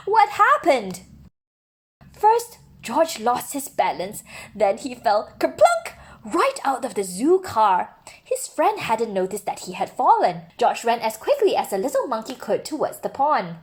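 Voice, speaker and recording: Female children's storybook narrator: high voice, very lively pitch, dramatic emphasis. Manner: expressive, dramatic emphasis for young listeners. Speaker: storybook reader. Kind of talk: expressive children's read-aloud narration.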